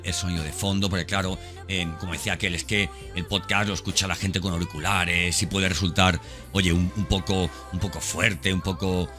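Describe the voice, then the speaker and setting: A man's voice: sits low, sharp tone. A man hosting a podcast in an informal, unscripted monologue, talking directly to the listener and repeating phrases for emphasis. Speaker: podcast host. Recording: background music under the voice. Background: background music.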